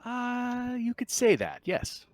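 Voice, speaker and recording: A man voicing a polite, guarded ship's android in a tabletop game, apologetic and measured in manner. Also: very high-pitched, huge pitch swings, dry recording, voice pushed up, heavily acted. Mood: evasive, delicate. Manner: measured, conciliatory, formally courteous. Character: polite, guarded, apologetic android named James minding a ship. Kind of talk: improvised tabletop roleplay acting.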